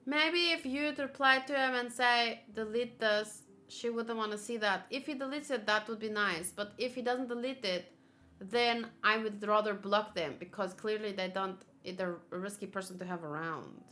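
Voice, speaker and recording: Bright-voiced, chatty woman streamer. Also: medium pitch, moderate pace. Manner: chatty, rambling, filler-heavy. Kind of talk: casual live game-reaction chat.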